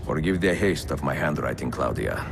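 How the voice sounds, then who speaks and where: An actor playing a weary, resolute older master assassin with an Italian accent and a grave, measured delivery in a game cutscene. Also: male voice, expressive, exaggerated voice. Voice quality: deep, gravelly. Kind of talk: dramatic game cutscene acting. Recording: music behind.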